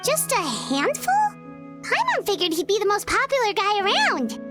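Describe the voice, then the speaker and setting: A woman's voice: very high-pitched, huge pitch swings, normal speed, full character voice. An actress voicing a small, cute, eager and sincere female character in a video game. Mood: curious, eager. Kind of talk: scripted video game dialogue. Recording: clear background music.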